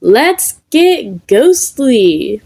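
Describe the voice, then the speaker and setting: A woman's voice: fairly high pitch, very animated, very slow, dark tone, husky, pitched above the performer's own voice, full character voice. A woman voicing an upbeat, showy grown man with an enthusiastic, slangy manner in a story. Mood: impressed, upbeat.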